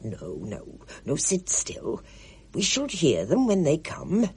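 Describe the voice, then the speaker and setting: A woman's voice: sits low, wildly swooping pitch, slow, darkish tone, husky, heavily acted. A woman voicing a plump, jovial older woman who talks in a homely, motherly way.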